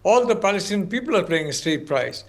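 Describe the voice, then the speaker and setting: A man from India with an Indian accent, commenting on a news panel as a professor in a measured, courteous way. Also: high voice, sing-song, a little hiss or hum.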